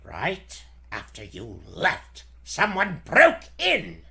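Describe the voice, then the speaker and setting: A man's voice: high-pitched, wildly swooping pitch, extremely slow, creaky, bright, forceful tone, exaggerated voice. Man playing an agitated, melodramatic professor. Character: agitated, accusing, secretive male scientist professor. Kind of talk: 1940s radio serial acting.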